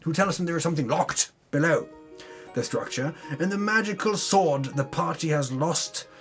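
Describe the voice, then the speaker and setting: A man's voice: a little high, animated, moderate pace, a bit bright. A man giving a dramatic reading of a tabletop game recap, theatrical in his telling, with wry asides. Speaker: reader of a tabletop campaign recap. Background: music under the voice.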